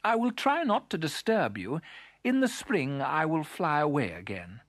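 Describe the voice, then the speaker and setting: A woman voicing a sleepy, cheerful female beetle in a gentle, dreamy, lilting way for a children's story. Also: sits low, big pitch swings, measured pace, no room sound, studio quality, voice pushed up, full character voice. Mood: polite, timid.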